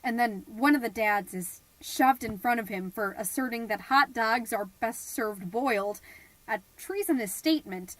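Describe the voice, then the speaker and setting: High-pitched, melodramatic suburban mom played by a woman. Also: normal speed, a bit bright, dry recording, close to an everyday voice, rough recording. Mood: dramatic, urgent. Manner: theatrical, pompous, indignant. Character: melodramatic suburban mom, self-important party planner, easily exasperated. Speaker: podcast host character narrating. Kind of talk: comedic scripted monologue, storytelling.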